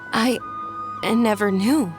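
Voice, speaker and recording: An actress playing a sheltered young noblewoman who speaks softly and politely. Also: on the high side, slow, music in the background. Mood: gentle. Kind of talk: game cutscene voice acting.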